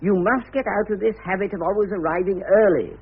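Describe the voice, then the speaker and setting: An actor playing a brisk, fussy manager in a radio comedy, giving imperious, precise and emphatic instructions. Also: male voice, sits high, husky. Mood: bossy, emphatic. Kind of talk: radio comedy drama acting. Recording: muffled, narrow radio drama recording.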